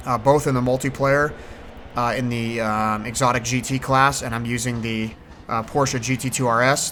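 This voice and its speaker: A man hosting a gaming video, giving casual, rambling, hesitant voice-over commentary. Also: mid-range voice. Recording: loud racing car engine noise behind. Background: racing game car sounds.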